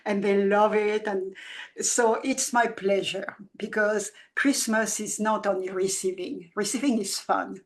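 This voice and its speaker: A woman in her seventies who grew up in France, a children's book author talking in an interview in a soft, halting way with many restarts. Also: mid-range voice, steady tone. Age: 70s. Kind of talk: reflective conversational interview.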